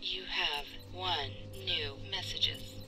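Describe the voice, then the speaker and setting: Very slow answering machine announcer. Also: female voice, on the low side, music in the background, rough recording. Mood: flat, neutral. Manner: measured, impersonal. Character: female automated answering machine voice, slow and impersonal. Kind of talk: scripted system announcement.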